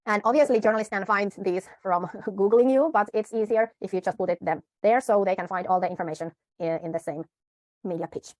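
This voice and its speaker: Woman, media pitch coach, tutorial talk, self-correcting, dry close sound. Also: darkish tone, no room sound. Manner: unhurried, with frequent pauses and self-corrections.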